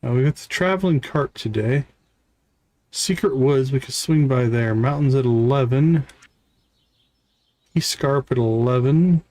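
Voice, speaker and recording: A man's voice: mid-range voice, unhurried, long pauses, warm tone. A man giving casual live gameplay commentary as a streamer, thinking aloud to himself in short fragments. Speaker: game streamer.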